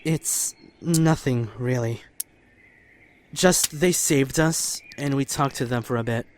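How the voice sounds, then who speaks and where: A woman playing a gentle, apologetic young male survivor in a zombie apocalypse audio drama, hesitant and solicitous. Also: very deep voice, slow. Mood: earnest. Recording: slightly noisy amateur recording with ambience.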